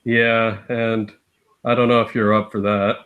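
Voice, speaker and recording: A man's voice: fairly low pitch, level tone, measured pace, narrow, tinny audio. A man co-hosting a live stream in casual chat, chiming in with short, jokey reactions.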